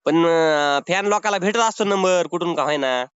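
Male prank caller, high smooth pitch, pushy and mock-pleading, lo-fi call audio. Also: normal speed, fairly bright tone, no echo. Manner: wheedling, insistent, mock-pleading. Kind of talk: unscripted-sounding phone banter. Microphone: phone call. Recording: dull lo-fi phone call recording.

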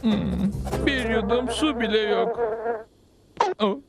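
Bir adam, çizgi film dublajında kendini önemseyen, ürkek, teatral küçük bir gazeteciyi seslendiriyor. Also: male voice, high voice, slow, loud music behind the voice. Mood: self-pitying, determined. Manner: theatrical, self-pitying, stammering when threatened. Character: fussy, self-important, nervous little male gringo journalist captive. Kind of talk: cartoon dub acting.

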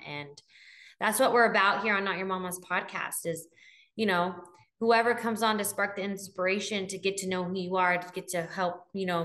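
Chatty woman podcast host, lowish voice. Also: a bit bright. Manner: encouraging, chatty, agreeable. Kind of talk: casual interview. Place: home room indoors. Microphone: studio microphone on a boom arm.

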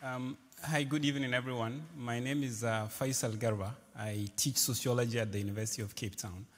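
A man's voice: mid-range voice, steady tone, fairly quick, a faint crowd, slight background noise. A man who lectures in sociology, introducing himself on a panel with wry humour. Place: large lecture hall stage. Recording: reverberant large hall.

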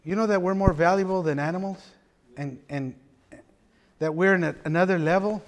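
A middle-aged pastor, preaching an animated sermon from a church pulpit with playful asides. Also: male voice, high-pitched, fairly quick. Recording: long reverb of a church hall.

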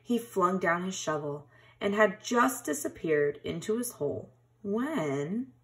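Woman, children's storybook read-aloud, slow pace, animated pitch, expressive teacher delivery. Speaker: teacher reading a picture book aloud.

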